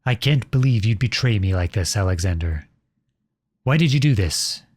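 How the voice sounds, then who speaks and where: A man playing a protective, noble outlaw prince in an earnest, dramatic ASMR audio roleplay, with a soft, husky voice close to the mic. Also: on the low side, expressive, moderate pace, no echo. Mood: betrayed, angry. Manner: earnest, dramatic, addresses unseen listeners directly. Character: outlawed young prince, protective, noble, devoted to his beloved. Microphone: close studio-style microphone, dry.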